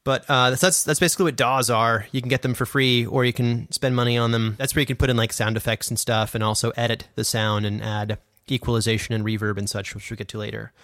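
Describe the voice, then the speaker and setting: A man's voice: mid-range voice, level tone, fast talker, few pauses, a bit bright, no echo. A man hosting a podcast, explaining things in a casual, enthusiastic, self-deprecating way, close on a studio microphone. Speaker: podcast host and audio drama producer. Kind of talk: casual instructional podcast chat. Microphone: condenser studio microphone.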